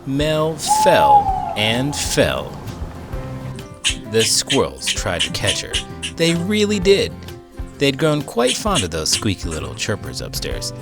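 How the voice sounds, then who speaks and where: A man giving a playful, expressive read-aloud of a picture book. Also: mid-range voice, slow pace, music in the background. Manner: animated, playful storytelling.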